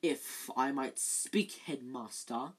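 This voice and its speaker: A cold, sneering male schoolmaster speaks in slow, hushed tones, as a woman reads him out. The voice sits low and husky, drawing out each word with quiet menace. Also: heavily acted.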